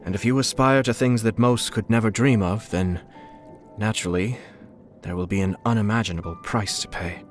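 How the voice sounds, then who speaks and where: Game role: calm, scheming young male clan head voiced by a man, lowish register, courtly and measured, music underneath. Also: close to an everyday voice. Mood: composed. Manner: polite, measured, formal, slyly amused. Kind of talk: scripted video game character lines.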